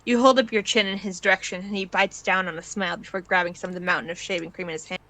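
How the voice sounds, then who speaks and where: A woman's voice: mid-range voice, steady tone, quick pace, pushed and bright, poor audio. A woman reading scripted second-person fan fiction aloud as the narrator.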